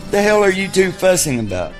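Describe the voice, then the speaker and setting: An actor playing a folksy, crude, wisecracking buddy in an audio drama, blunt and game for a fight. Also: male voice, high voice, very animated, brisk, music in the background. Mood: blunt, goading.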